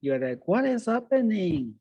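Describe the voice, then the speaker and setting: A man's voice: high voice, sing-song, mellow, slightly rough, no room sound, muffled recording. Guy teaching swahili on a call, patient, says everything twice in english, dry close sound. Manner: patient, explains slowly and laughs easily. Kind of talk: bilingual teaching, repeating each point in English.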